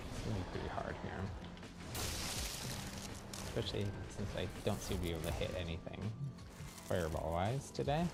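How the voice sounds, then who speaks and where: A man's voice: low-pitched, lively intonation, brisk, vocal fry, pauses often, over loud music. A man giving casual live gameplay commentary, chatty and thinking aloud as he plays. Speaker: livestream gamer.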